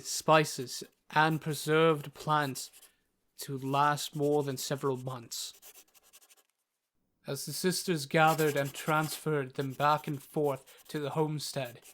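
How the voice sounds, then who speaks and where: A man's voice: fairly high pitch, fairly bright tone. A man reading scripted narration for a fantasy story as the narrator.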